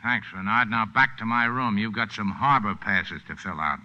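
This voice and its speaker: A tough, curt boat captain in vintage radio drama. His voice is low and hard-edged, with clipped, deadpan orders. The old broadcast recording is dull. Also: moderate pace. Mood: menacing, curt. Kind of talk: 1940s radio drama acting.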